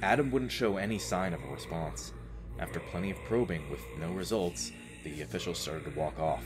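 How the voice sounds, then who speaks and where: A man's voice: sits low, lively intonation, normal speed, over music. A man narrating an audio drama as a storyteller, with a wry, informal turn of phrase.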